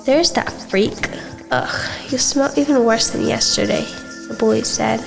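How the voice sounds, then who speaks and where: A woman's voice: on the high side, unhurried, creaky. A girl in her early teens reading a scripted story aloud as a student, over music. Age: young teenager.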